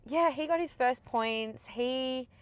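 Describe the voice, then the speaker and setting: A woman's voice: sits high, takes it slowly, clear, resonant tone. A young woman co-hosting a podcast, chatting casually and expressively, with many "like" fillers. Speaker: podcast co-host.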